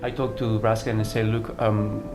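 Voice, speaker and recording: Earnest Venezuelan man, halting speech. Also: lowish voice, little pitch movement. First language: Spanish. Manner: earnest, halting, many restarts and fillers. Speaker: artistic director of a dance company. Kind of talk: personal testimony interview. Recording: roomy sound with music under it.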